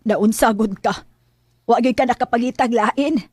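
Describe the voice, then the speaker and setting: An actress playing a stern, disapproving aunt with a Filipino Bisaya accent in a radio drama. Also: female voice, fairly high pitch, moderate pace, no echo, studio quality, close to an everyday voice. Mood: indignant, scolding. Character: stern, disapproving aunt scolding a nephew's girlfriend choice.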